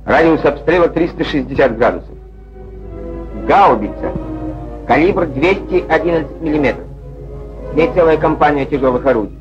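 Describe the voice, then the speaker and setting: A man's voice: on the high side, expressive, moderate pace, long pauses, lo-fi sound. A man narrating a scripted 1940s Soviet newsreel in a declamatory, wry manner, on an old film soundtrack. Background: music.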